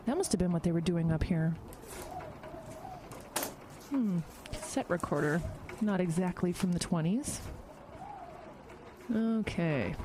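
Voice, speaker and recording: A woman's voice: mid-range voice, noisy background. An actress playing a sceptical, bossy woman bureaucrat in an audio drama, with a sardonic, no-nonsense, brusque manner. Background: music.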